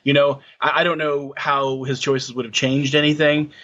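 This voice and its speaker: A man's voice: on the high side, little pitch movement, normal speed, a bit bright, muffled recording. A man in his thirties chatting casually on a podcast, hesitant, with many fillers and restarts. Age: 30s. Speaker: podcast co-host. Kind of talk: casual chat.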